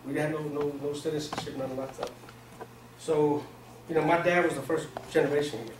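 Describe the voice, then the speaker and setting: A man's voice: a little high, steady tone, a little fast. A man in his forties from Pakistan, a non-native English speaker, giving an informal motivational talk to a small group in colloquial, streetwise phrasing. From Pakistan, grew up in Virginia, US. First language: not English. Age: 40s. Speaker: seminar speaker. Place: small indoor conference room. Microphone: far camera microphone. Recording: echoey room, distant camera mic, noisy.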